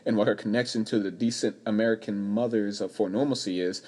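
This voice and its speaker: A man's voice: on the low side, steady tone, fast talker, dark tone, close to an everyday voice. A man in a radio play, playing an earnest and devoted young amateur sleuth. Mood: earnest, urgent.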